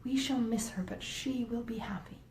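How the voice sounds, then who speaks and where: A woman's voice: moderate pace, a little mellow, close to an everyday voice. A woman voicing a sentimental, affectionate middle-aged mother in a Regency storybook reading. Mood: fond, reassuring. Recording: roomy echo, faint rumbling noise.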